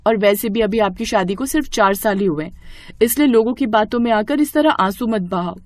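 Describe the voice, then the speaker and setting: A woman voicing an outspoken, protective young sister-in-law in a cartoon story, brisk, scolding and emphatic. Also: medium pitch, darkish tone, dry recording, lightly acted.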